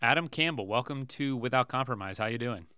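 A man's voice: medium pitch, animated, fairly quick, sharp tone, clear, resonant tone, dry recording, clean studio sound. A male podcast host asking questions in a friendly way and stumbling over his first words. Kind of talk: casual interview conversation.

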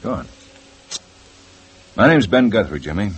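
An actor playing a calm, firm male police detective who questions a suspect in a 1950s radio drama. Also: on the low side, measured pace, breathy edge, heavily acted. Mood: probing. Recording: old radio recording with faint hiss.

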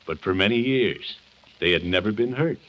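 Male actor as a jovial American uncle, middle-aged, lowish voice, avuncular radio-play storytelling, dull vintage broadcast sound. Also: moderate pace, natural voice. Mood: gentle storytelling. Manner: avuncular, expressive, theatrical storyteller. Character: kindly, jovial uncle playing Santa to hospitalized children. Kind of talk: 1950s radio comedy acting, storybook reading. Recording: muffled old 1950s radio broadcast.

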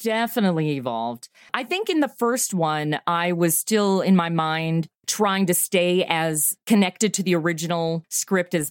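A woman's voice: on the low side, clean tone, no echo, studio quality. A woman chatting casually as an interview guest, emphatic and given to repeating words for stress. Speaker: podcast creator and writer, interview guest.

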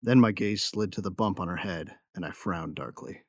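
Tough bounty hunter narrator; low, close, dry sound, brooding with a tender streak. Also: male voice, normal speed, creaky, no echo. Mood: brooding, intimate. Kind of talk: first-person audio drama narration.

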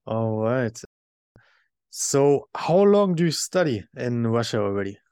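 A man hosting a podcast, asking questions in a steady, chatty way. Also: mid-range voice, unhurried, smooth, dry recording, clean studio sound. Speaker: podcast host. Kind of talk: interview questions.

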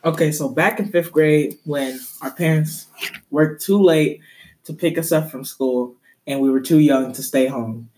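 A man's voice: fairly high pitch, measured pace, darkish tone. A young man casually telling a story on a podcast, rambling and correcting himself as he goes. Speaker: podcast co-host.

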